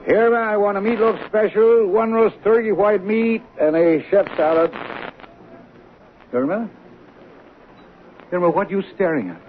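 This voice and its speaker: An actor in a radio drama playing an excitable, evasive lunch-counter worker, emphatic and fumbling for words. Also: male voice, high voice, measured pace, long pauses. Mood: brisk, impatient. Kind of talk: 1970s radio drama acting. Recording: narrow, tinny old radio recording, faint noise.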